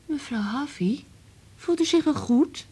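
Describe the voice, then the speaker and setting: A woman playing a chatty, concerned young typist in a Dutch radio drama, with a soft, husky voice. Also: high voice, animated, unhurried. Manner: solicitous, chatty.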